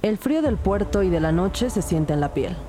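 A woman's voice: mid-range voice, expressive, normal speed, background noise, lightly acted. An actress playing an anxious, determined police detective who narrates her case in a dramatic, suspenseful crime audio drama. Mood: tense, narrating. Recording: loud music behind the voice. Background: dramatic music.

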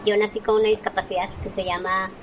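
A woman's voice: mid-range voice, level tone, quick pace. Mexican woman in her forties, noisy recording. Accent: Mexican Spanish. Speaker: interview guest, author and speaker. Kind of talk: conversational personal storytelling.

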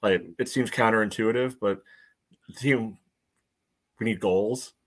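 A man with a fairly low voice talks sports as a fan panelist. His style is casual and opinionated, like an informal podcast discussion. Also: fairly even intonation, a little slow.

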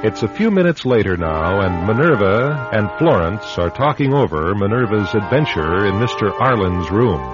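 A man's voice: on the low side, very animated, moderate pace, few pauses. A man announcing and narrating a scripted radio drama. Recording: dull recording with loud organ music.

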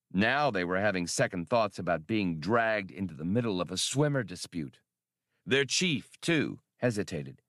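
A man's voice: lowish voice, fairly bright tone, no room sound, clean studio sound. A man reading an adventure story as an audiobook narrator, in a scripted, dramatic style.

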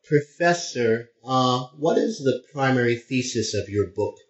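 A male interviewer giving a formal, halting introduction of his guest, with frequent pauses and fillers. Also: medium pitch, expressive. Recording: roomy, dull lo-fi sound.

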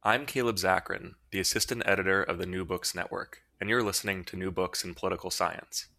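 Very deep, bright-toned male podcast host. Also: fairly even intonation, fast talker, faint music behind. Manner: formal, read-aloud delivery. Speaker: podcast host, assistant editor. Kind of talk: scripted introduction and interview questions.

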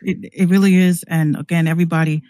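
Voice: medium pitch, steady tone, fast talker, mellow, no room sound. A podcast guest who hosts a show, talking casually and at length in a rambling, conversational way.

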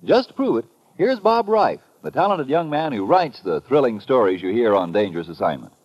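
A man announcing a sponsor pitch on 1950s radio in a folksy, chatty selling manner. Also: big pitch swings, a little fast, darkish tone, no echo. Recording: dry, muffled vintage radio recording.

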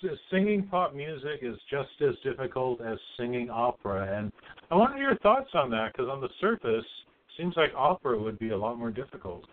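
Man, show host, casual questions, middle-pitched voice, thin phone-like sound. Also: very animated, moderate pace. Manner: casual, friendly questions with fillers like "you know". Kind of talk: remote interview hosting. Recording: tinny call line.

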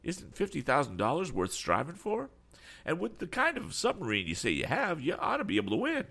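A man voicing an eccentric, excitable older gentleman from a storybook, full of blustery exclamations and astonished questions. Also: on the high side, a little fast, gravelly, slightly rough, voice pushed up, full character voice. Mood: indignant, surprised. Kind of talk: audiobook character voice.

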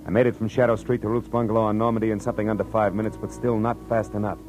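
Low-pitched man, American, acting a tough calm private detective, matter-of-fact delivery, old-time radio, music behind. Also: rapid-fire, lightly acted. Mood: grim narrating. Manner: clipped, hard-boiled, matter-of-fact. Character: hard-boiled male private detective, tough, world-weary, calm. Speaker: lead actor and narrator. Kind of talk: 1940s radio acting, first-person narration and dialogue. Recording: vintage radio drama with music.

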